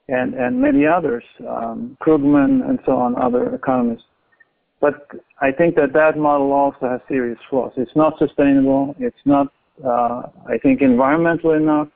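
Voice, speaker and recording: A man's voice: medium pitch, vocal fry. A man from Norway, an author being interviewed, speaking thoughtfully with many restarts and fillers. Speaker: author guest. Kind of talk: interview talk. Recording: tinny phone-line audio.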